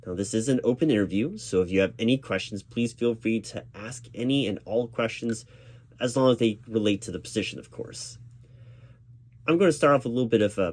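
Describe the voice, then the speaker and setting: Low-voiced man, patient reassuring interviewer. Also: darkish tone. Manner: patient, reassuring, formal corporate phrasing. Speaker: recruiting manager interviewing a job candidate in an ASMR roleplay. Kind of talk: one-sided ASMR roleplay, spoken aloud.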